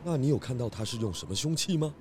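A man's voice: mid-range voice, animated, quick pace, warm tone, slight background noise, lightly acted. A man playing a genial, methodical pig detective inspector in a children's audio drama, questioning in a polite, easygoing way. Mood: businesslike, calm.

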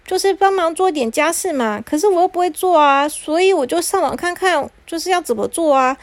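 A sweet, cheerful young Cinderella who reassures her family, played by a woman storyteller. Her voice is high and bright, and it sounds close and dry. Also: expressive, fairly quick, dry recording.

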